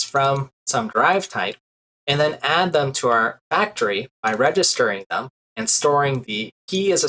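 A man's voice: medium pitch, pushed and bright, rough recording. A man in his thirties teaching a programming lesson while sharing his screen, explaining step by step with frequent "uh" fillers, at a desk in a small room. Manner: explanatory, many "uh" fillers while working through code. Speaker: programming instructor. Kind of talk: screen-share coding lesson.

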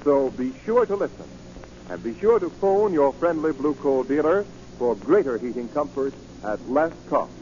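A man's voice: mid-range voice, lively intonation, moderate pace, darkish tone, slight background noise. A man working as a radio announcer in a formal, polished 1940s style. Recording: muffled 1940s radio recording.

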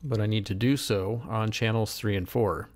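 A man giving a methodical, step-by-step software demonstration as a tutorial voice-over. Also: fairly low pitch, steady tone, normal speed. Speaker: tutorial instructor. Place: voice-over screen recording.

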